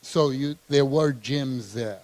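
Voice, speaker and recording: A man hosting an interview in a gym, asking questions with many fillers and quick agreements. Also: medium pitch, darkish tone. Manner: hesitant, uses many fillers and short agreements. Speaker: host, interviewer. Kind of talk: casual interview.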